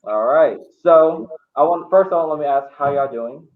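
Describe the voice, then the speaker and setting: A man's voice: a little high, normal speed. Informal male podcast host, phone-like sound. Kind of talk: hosting a group discussion. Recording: thin phone-like audio.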